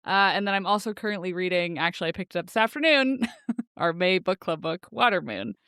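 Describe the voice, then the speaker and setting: Lower-pitched woman, giggly book chat. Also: lively intonation, brisk, fairly bright tone, clear, resonant tone, dry recording, studio quality. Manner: giggly, enthusiastic. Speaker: podcast co-host.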